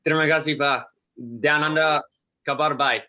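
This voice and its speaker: A man's voice: fairly high pitch, fairly even intonation, unhurried, bright, forceful tone. A man, an Australian university student and native English speaker, asking a question in careful learner Indonesian. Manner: careful learner phrasing, some hesitation.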